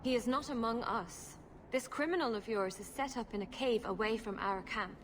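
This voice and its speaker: A woman's voice: a little high, normal speed, close to an everyday voice. A woman playing a guarded, reserved female elf in a video game, speaking in a cool and formal manner. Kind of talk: video game character acting. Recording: clear background ambient noise.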